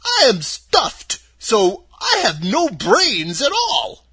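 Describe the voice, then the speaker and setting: A man voicing a friendly, mournful, simple-minded scarecrow in a storybook, husky and hesitant, often opening with a drawn-out "uh". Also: extremely high pitch, wildly swooping pitch, bright, forceful tone, voice pushed up, exaggerated voice. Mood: puzzled, wistful. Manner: hesitant, opens phrases with drawn-out "uh".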